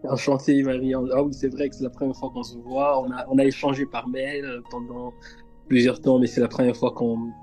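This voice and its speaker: A man's voice: medium pitch, level tone, moderate pace, mellow, faint music behind, rough recording. A man hosting a faith podcast, speaking hesitantly with frequent fillers and repeated words. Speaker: podcast host.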